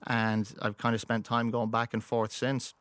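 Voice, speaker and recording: A man's voice: mid-range voice, completely flat delivery, moderate pace, fairly bright tone, clear, resonant tone, no room sound. Man with a mixed Irish-American accent. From United States, moved to Ireland. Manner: rambling, many restarts and fillers. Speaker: guest, musician. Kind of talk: casual interview storytelling.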